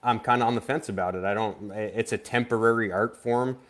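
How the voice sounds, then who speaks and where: Low-voiced man, halting guest. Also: fairly even intonation, fairly bright tone. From Vermont, US. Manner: halting, stops and restarts often. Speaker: guest artist. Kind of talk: casual live-stream interview.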